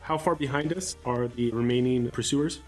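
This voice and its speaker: A man talks casually at a tabletop game as a player, working out his moves aloud. His voice sits at a middle pitch, with faint music behind it. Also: moderate pace, darkish tone. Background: background music.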